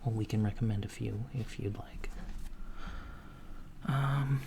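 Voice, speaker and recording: A man playing a calm alien doctor disguised as a human, speaking softly and hesitantly in a gentle, clinical ASMR roleplay. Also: on the low side, a little slow. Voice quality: soft, breathy, near whisper. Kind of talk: soft-spoken ASMR roleplay. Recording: lo-fi with clear background noise.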